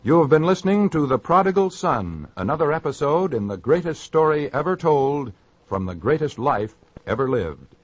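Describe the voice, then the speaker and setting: A man's voice: on the high side, sing-song, normal speed. A man narrating and announcing in a solemn, formal, dramatic old-time radio style. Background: prominent music.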